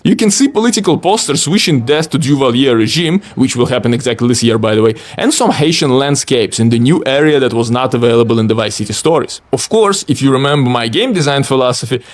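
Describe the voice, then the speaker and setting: A man who speaks English as a second language, narrating a scripted game mod showcase as its creator, with playful, wry asides. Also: mid-range voice. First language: not English. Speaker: video creator and game modder.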